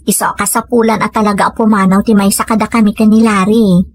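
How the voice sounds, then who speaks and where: A woman's voice: medium pitch, level tone, fast, dry recording, natural voice. A woman with a Filipino accent acting a plain-spoken, emotional woman of a rural family in an audio comedy drama. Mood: earnest, concerned.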